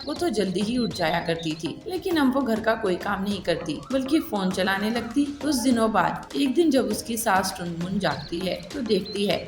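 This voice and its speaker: A woman's voice: medium pitch, animated, moderate pace, hardly any pauses. A woman reading scripted narration for a cartoon story. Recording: echoey reverb with clear music behind.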